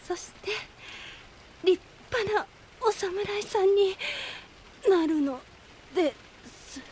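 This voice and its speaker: A woman's voice: extremely high pitch, drawn-out words, darkish tone, exaggerated voice, rough recording. A woman playing a frail, tender dying mother in a period radio drama, weak and coughing. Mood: tearful, earnest. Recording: faint hiss behind.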